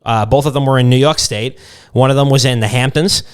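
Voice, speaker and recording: A man's voice: medium pitch, fairly quick, clear, resonant tone. A young man co-hosting a podcast, telling casual, jokey stories with plenty of swearing. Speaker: podcast co-host. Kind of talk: casual comedic podcast banter and storytelling.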